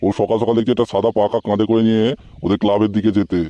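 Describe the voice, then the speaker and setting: A man's voice: lowish voice, little pitch movement, rapid-fire, dark tone, natural voice. A man voicing a nosy, moralising older uncle in a comic cartoon. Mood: chatty, inquisitive.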